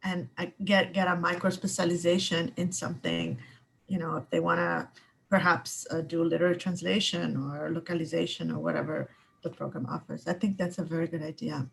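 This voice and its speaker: A woman's voice: lowish voice, flat delivery, brisk, creaky, fairly bright tone, a little hiss or hum, low-quality recording. A woman giving hesitant, unscripted comments on a video call, with many fillers.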